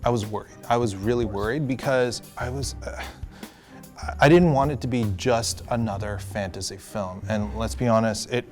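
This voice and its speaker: A man gives his opinions in a casual panel chat. His voice sits at an average pitch and he leans on repeated words for stress. Faint music runs behind him. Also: moderate pace, a little mellow. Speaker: panel guest. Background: faint background music.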